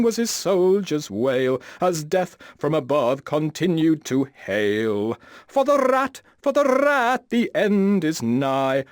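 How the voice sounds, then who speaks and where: A man playing a theatrical bard in a game, delivering mocking verse in a drawn-out, dramatic way. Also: a little high, clean tone, no echo, studio quality.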